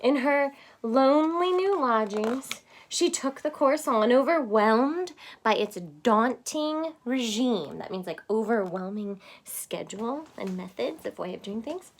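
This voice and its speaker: A woman's voice: fairly high pitch, poor audio. A woman reading a children's storybook aloud expressively, in the manner of someone explaining it to young listeners. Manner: expressive, pausing to explain words simply. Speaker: storybook reader.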